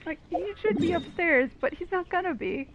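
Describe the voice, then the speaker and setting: A woman's voice: very high-pitched, expressive, moderate pace, muffled recording, heavy background noise. A woman giving casual, informal, reactive commentary over a game playthrough. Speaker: gaming video commentator. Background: game music.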